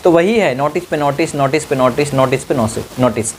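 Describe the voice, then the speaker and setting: A man presents exam news in an informal explaining style. He speaks at a middling pitch to his candidates, repeats key phrases to drive them home, and often adds small tag questions to check they follow. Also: brisk, slight background noise.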